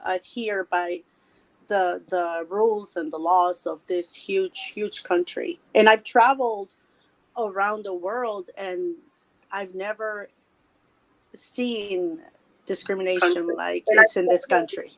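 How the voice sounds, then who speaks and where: A woman's voice: lowish voice, steady tone, takes it slowly, a bit bright, low-quality recording. A woman from El Salvador with a Salvadoran accent, calling in as a guest and telling her story earnestly, often repeating words. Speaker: guest caller. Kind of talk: personal storytelling.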